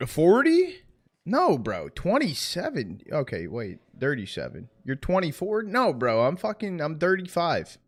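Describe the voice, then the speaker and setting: A young man in his twenties gives a loose, rambling reaction to a match, swearing without a second thought. His voice sits a little high and swings widely as he goes. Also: huge pitch swings, a little slow. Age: 20s. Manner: chatty, swears freely, rambling asides. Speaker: streamer watching a match. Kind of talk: casual co-stream reaction.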